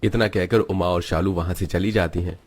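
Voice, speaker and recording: A man's voice: sits low, fairly even intonation, moderate pace, a little mellow, soft background music. A man narrating a scripted moral story as a storyteller.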